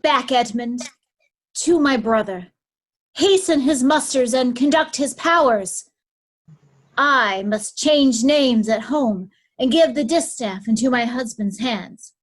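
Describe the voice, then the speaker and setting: A woman playing a commanding, seductive and scornful noblewoman, deliberate and imperious, in a Shakespeare reading. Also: a little high, poor audio. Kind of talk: remote readers' theatre Shakespeare performance.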